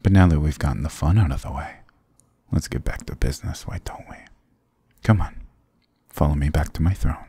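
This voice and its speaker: Man acting deep, gravelly, taunting demon king. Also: brisk, long pauses, dark tone, no room sound, full character voice. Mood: smug, dismissive. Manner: sarcastic, teasing, menacing purr with low chuckles. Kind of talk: ASMR roleplay acting. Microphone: close, dry microphone.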